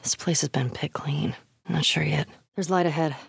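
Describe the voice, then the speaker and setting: A woman's voice: low voice, steady tone, normal speed, gravelly, slightly rough, dry recording, clean studio sound, exaggerated voice. A young woman playing a tough, foul-mouthed, wry survivor in a video game, muttering in terse, conversational asides. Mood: determined. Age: young adult. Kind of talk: video game voice acting, naturalistic.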